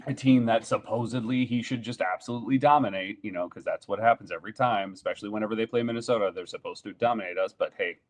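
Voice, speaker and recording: A man in his thirties argues his football takes on a casual home podcast, his voice sitting fairly low. He gets blunt and emphatic, circling back on his words. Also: fast talker, hardly any pauses. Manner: opinionated, lots of fillers and restarts. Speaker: podcast co-host. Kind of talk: casual sports chat. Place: home room, indoors.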